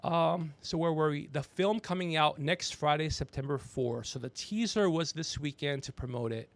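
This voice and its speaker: A man's voice: a little high, moderate pace. A man hosting a livestream in a rambling, casual, joking way, chatting to someone off mic as he goes. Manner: rambling, joking, self-promoting, talks to off-mic crew member. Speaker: podcast host and comedian. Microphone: podcast microphone with headphones.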